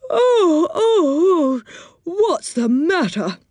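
A plaintive, put-upon fellow from a children's storybook as a woman reader plays him. He grumbles in a slightly raised voice with lively rises and falls. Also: fairly high pitch, normal speed, no echo, voice pushed up, natural voice. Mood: feigned sleepy innocence. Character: gloomy, grumbling male storybook tree-dweller, feigning innocence.

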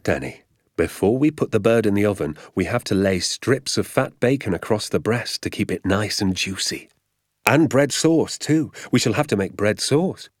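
A man's voice: on the low side, dry recording, studio quality. A man voicing an exuberant, boastful father in a storybook, grandly emphatic and stretching his words with relish.

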